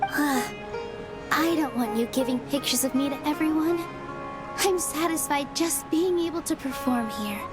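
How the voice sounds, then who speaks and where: High, sweet young dancer girl played by a woman. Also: moderate pace, close to an everyday voice. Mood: bashful. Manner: sweet, earnest, polite. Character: cheerful, modest young woman, a beloved dancer. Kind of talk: video game voice acting. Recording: loud game music behind. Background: game music.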